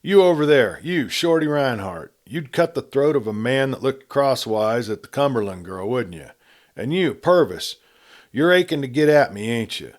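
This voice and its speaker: A man voicing a sarcastic, menacing outlaw gang leader in a Western story reading, talking in rough frontier speech. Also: medium pitch, big pitch swings, moderate pace, voice pushed up, close to an everyday voice. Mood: taunting. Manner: taunting, commanding, rough frontier talk.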